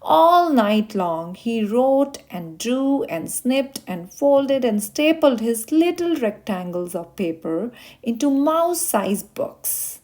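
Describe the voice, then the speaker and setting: A woman reading a children's story aloud in an expressive, emphatic way, stretching words for effect. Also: on the high side, slow pace. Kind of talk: scripted read-aloud narration for children.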